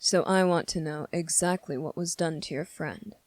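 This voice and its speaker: A performer playing a sardonic, condescending demon in a dramatic ASMR roleplay, speaking slowly and deliberately with many pauses. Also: mid-range voice, no echo, lightly acted. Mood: taunting, curious. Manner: slow, deliberate, condescending, pausing often. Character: sardonic, menacing demon weighing a summoner's revenge plea.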